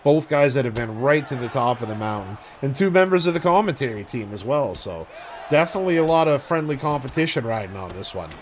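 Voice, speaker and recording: A man giving play-by-play commentary on video game fights, calling each strike quickly and casually. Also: medium pitch, lively intonation, slight background noise. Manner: rapid blow-by-blow calling.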